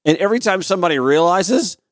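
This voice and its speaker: A man's voice: sits high, animated, quick pace, dry recording, clean studio sound. A man from Georgia with an American accent, hosting a talk radio show in an emphatic, informal, conversational monologue. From Georgia, United States.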